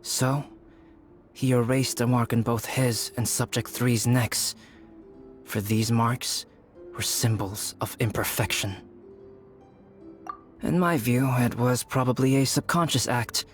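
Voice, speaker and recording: An actor playing a calm, pensive young alchemist in a game, speaking in a grave, deliberate, storytelling way over music. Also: male voice, mid-range voice, lightly acted. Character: calm, pensive young male alchemist telling a dark tale. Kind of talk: measured game dialogue storytelling. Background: background music.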